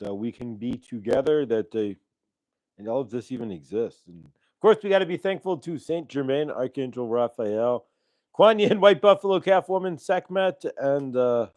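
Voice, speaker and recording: A middle-aged man with a mid-range voice hosts a live online show from home. He rambles warmly through thanks and plans, restarting sentences and filling pauses with "uh" and "um". He is speaking into a small clip-on microphone. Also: mid-range voice, no echo. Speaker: host. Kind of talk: casual live-stream chat. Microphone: clip-on transmitter mic.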